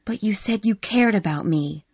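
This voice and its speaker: A woman voicing a hot-tempered young woman who lashes out in sharp, angry bursts. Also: mid-range voice, lively intonation, moderate pace, slightly rough, no room sound, voice pushed up. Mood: furious. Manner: sharp, furious, shouting. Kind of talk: audiobook character reading.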